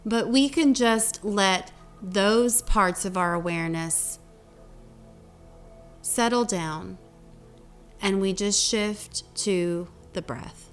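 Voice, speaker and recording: Woman doing guided meditation, gentle n really slow, long pauses, faint music behind her. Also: medium pitch. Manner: unhurried, gentle guiding with long pauses between phrases.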